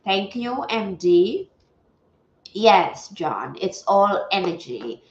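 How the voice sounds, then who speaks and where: Slow, stuffy-voiced woman livestreaming. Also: medium pitch, sing-song, a little hiss or hum. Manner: slow, chatty, greets viewers often. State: stuffy nose. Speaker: livestream host. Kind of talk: casual livestream chat. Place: home setup at a table. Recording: echoey room, dull lo-fi sound.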